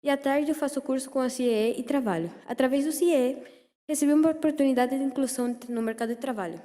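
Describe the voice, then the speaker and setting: A woman's voice: high-pitched, fast talker, warm tone. A sixteen-year-old young woman from Venezuela, a native Spanish speaker, carefully reading a prepared testimonial at a formal session, with small stumbles. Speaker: teenage apprentice receptionist. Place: large echoing meeting chamber. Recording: reverberant hall public address.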